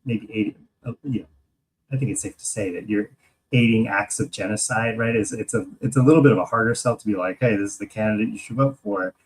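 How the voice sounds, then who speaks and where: An American man co-hosting a podcast, talking casually about politics and thinking aloud in a hesitant, halting way. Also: lowish voice, breathy edge, low-quality recording. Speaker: podcast co-host.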